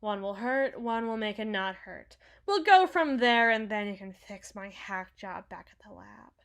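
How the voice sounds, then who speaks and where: A woman's voice: medium pitch, expressive, unhurried, clear, resonant tone. A woman playing a brash, sarcastic, protective villain's henchwoman and field medic in an intimate, dramatic audio roleplay. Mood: muttering, clinical. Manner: sardonic, dramatic, swings from hushed muttering to outbursts.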